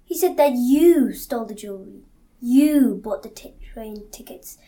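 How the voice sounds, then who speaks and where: A woman's voice: a little high, expressive, normal speed, warm tone, lightly acted. A young woman playing a stern, sceptical police interrogator in an amateur audio drama. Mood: accusing.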